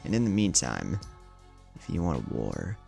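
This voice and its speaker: A man's voice: deep voice, sing-song, moderate pace, vocal fry, warm tone, faint music behind, exaggerated voice. A man voicing a cold, arrogant young ninja in a menacing anime-style story. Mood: cold menace. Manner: cold, threatening delivery.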